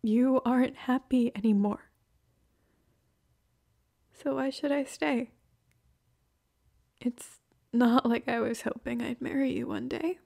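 A young woman playing a hurt, heartbroken girlfriend in an emotional, halting ASMR roleplay monologue. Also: a little high, fairly even intonation, vocal fry, darkish tone, full character voice. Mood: resigned, heartbroken.

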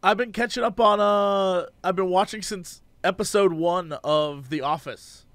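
Hoarse, bright-voiced goofy podcast host. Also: male voice, sits high, measured pace, no echo. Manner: goofy, sings and shouts bits, jokey asides. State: hoarse, lost voice. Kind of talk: casual podcast chat.

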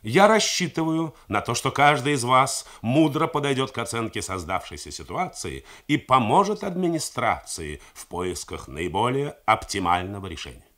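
A man's voice: mid-range voice, very animated, normal speed, hardly any pauses, a bit bright, pitched above the performer's own voice. A man reading the part of a stately older head of state, speaking in a grave, persuasive manner. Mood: solemn. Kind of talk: radio drama reading.

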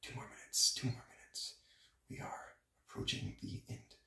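A man in his early twenties, sitting in cold water, talking to himself in halting, breathy whispers while he shivers. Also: bright, forceful tone, distant mic. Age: early 20s. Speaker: vlogger. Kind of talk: shivering self-talk vlog. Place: small bathroom, sitting in a bathtub. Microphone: phone camera held close. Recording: echoey bathroom, noisy phone audio.

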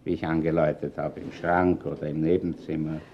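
A man's voice: rumbling low, flat delivery, fairly quick, slight background noise. An actor playing a dry, mocking policeman in a crime radio play, sardonic, with a Viennese accent. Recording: dull lo-fi radio play recording.

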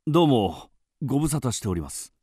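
An actor playing a polite, serious police detective in a radio drama, speaking formally and with measured deference. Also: male voice, mid-range voice, big pitch swings, noisy background, lightly acted. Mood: grave.

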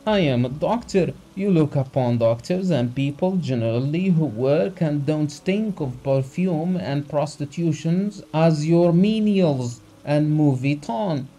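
A man's voice: sits high, animated, slow pace, few pauses, voice pushed up, heavily acted, rough recording. A man voicing a raging, contemptuous country doctor. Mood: outraged. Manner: shouting, contemptuous. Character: furious embittered male country doctor. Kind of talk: audiobook character reading.

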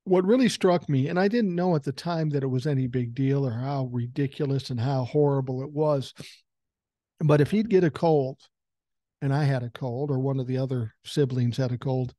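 A man's voice: medium pitch, moderate pace, warm tone, no room sound, studio quality. A man in his sixties hosting a podcast from a room at home, talking casually and bluntly and swearing now and then, with a common cold. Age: 60s. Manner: blunt, profane and conversational. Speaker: podcast host. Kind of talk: casual political commentary monologue. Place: home room with a couch behind him. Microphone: large foam-covered dynamic mic close to the mouth.

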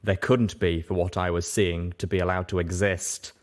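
A man's voice: deep voice, level tone, normal speed. A man reading a first-person story aloud as scripted narration. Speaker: audiobook reader.

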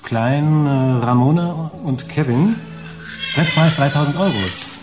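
An actor playing a polite, slightly muddled young shop clerk in a radio drama. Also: male voice, fairly high pitch, unhurried, music in the background, close to an everyday voice. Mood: polite, hesitant. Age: young adult.

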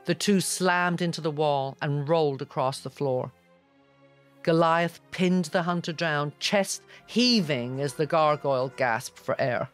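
A man's voice: on the high side, measured pace, faint music behind. A man reading scripted fantasy narration in a dramatic audiobook style.

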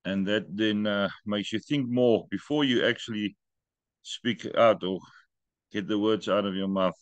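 A man's voice: fairly low pitch, measured pace, no room sound. A middle-aged man hosting a video call meeting, friendly and joking as he invites others to speak. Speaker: group meeting host. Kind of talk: casual video call chat. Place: home room with stone wall. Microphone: laptop webcam video call.

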